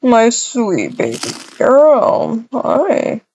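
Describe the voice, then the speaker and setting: A woman playing a gentle, doting young mother in a casual game roleplay, with soft cooing baby talk. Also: a little high, huge pitch swings, very slow, heavily acted. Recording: muffled, noisy microphone with clicks.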